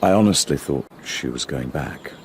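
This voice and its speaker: A blunt, gruff man in a radio drama. The voice is extremely deep and gravelly, and a faint hiss sits under it. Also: lively intonation, normal speed. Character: gruff older Englishman, blunt but kind.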